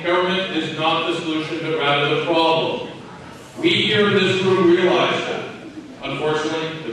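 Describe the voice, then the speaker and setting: A man's voice: a little high, fairly bright tone. An American man from Maine, a political candidate, delivers an earnest, plain-spoken campaign speech with emphatic, repeated phrasing. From Maine, United States. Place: indoor hall at a county caucus. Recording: echoey hall, distant mic, faint noise.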